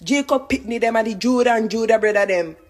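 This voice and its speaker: A woman, reading scripture aloud in a deliberate way. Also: on the high side.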